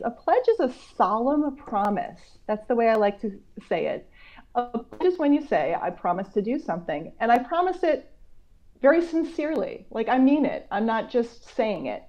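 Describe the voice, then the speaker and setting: Female children's author, US, conversational guest, enthusiastic explainer, muffled call-quality recording. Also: on the high side. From United States. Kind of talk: conversational interview chat about books. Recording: dull, lo-fi video call audio.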